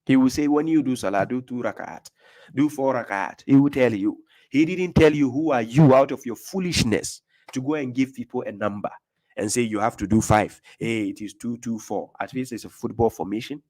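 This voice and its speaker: A man's voice: medium pitch, normal speed, a little mellow. Emphatic Ghanaian man preaching. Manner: emphatic, repeats phrases, often asks "do you get my point?". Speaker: Islamic preacher. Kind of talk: informal religious teaching.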